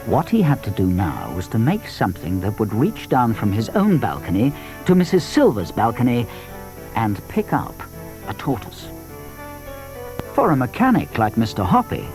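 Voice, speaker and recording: A man with a British accent narrating a scripted children's story in an expressive storytelling manner. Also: fairly low pitch, moderate pace, over music.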